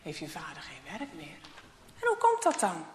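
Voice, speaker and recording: A woman voicing a firm, calm man who questions a boy, in an echoey room. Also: lowish voice, normal speed, a little hiss or hum, voice pushed down. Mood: stern. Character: stern calm man, shop detective. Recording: echoey room, long reverb.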